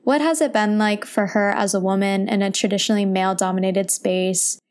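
A woman's voice: mid-range voice, steady tone, brisk, darkish tone, smooth. Casual, enthusiastic woman hosting an interview. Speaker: podcast host and interviewer. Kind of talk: conversational interview.

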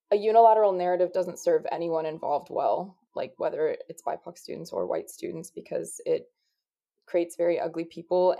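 A woman podcast host with a low, dark, gravelly voice. She is agreeable and pauses now and then to find the right words as she steers the interview.

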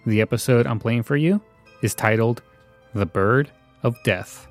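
A man's voice: mid-range voice, unhurried. A man giving a scripted introduction as a podcast host. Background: faint music.

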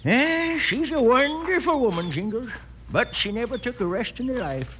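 An actor playing a sly, henpecked, easygoing old American grandpa in a vintage radio Western, with a folksy, chuckling manner. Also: male voice, very high voice, expressive, normal speed, a bit bright. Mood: wry amusement. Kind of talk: 1950s Western radio acting. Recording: noisy old radio recording.

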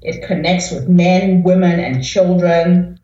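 African woman guest, slow and emphatic, very echoey dull line. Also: on the low side, dark tone. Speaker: guest, inspirational speaker. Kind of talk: interview answers.

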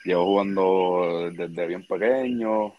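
Puerto Rican man in his 20s, deeper register, easygoing interview guest. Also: slow pace, lo-fi sound, slight background noise. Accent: Puerto Rican Spanish. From Puerto Rico. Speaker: baseball pitcher guest. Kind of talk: casual interview chat.

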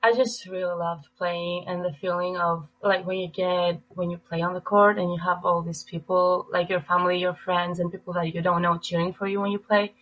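Young raised woman, relaxed and chatty. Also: on the low side, dull audio. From Greece. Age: 20s. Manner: relaxed, lots of "like" fillers. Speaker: college volleyball player guest. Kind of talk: casual interview answers.

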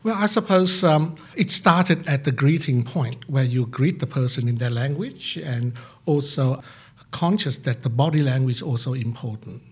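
Older man, reflective and halting, rough husky sound, mid pitch. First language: Vietnamese. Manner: halting, many fillers, laughs at himself. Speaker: psychiatrist and senior lecturer. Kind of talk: interview answers, reflective.

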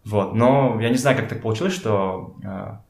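A young man chatting casually as an interview guest, informal and halting as he searches for words. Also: on the low side, creaky, a little mellow. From Kazakhstan. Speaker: podcast guest, photographer and art director. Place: indoors in a home. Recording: echoey room.

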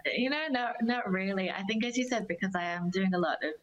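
A woman's voice: medium pitch, a little fast, muffled recording. A young woman, a singer-songwriter, chatting casually as an interview guest.

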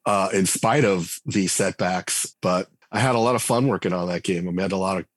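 A man's voice: low voice, normal speed, no echo. A man in his forties speaking as a guest in a casual interview, talking loosely with many restarts and fillers. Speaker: game writer and designer guest. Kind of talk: conversational podcast interview.

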